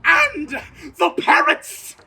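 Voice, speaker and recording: A man's voice: extremely high pitch, big pitch swings, extremely slow, creaky, pushed and bright, far from the mic, voice pushed up, full character voice. A man voicing a dramatic, indignant farmer's wife in a comedic stage radio play. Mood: frightened, prim. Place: outdoors, parking lot stage.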